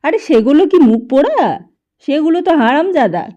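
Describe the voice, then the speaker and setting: Cantankerous blind old grandfather, put on by a woman narrator: scolding, loud, high and swooping, lo-fi storybook reading. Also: animated, fast talker. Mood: scornful. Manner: shouting, scolding.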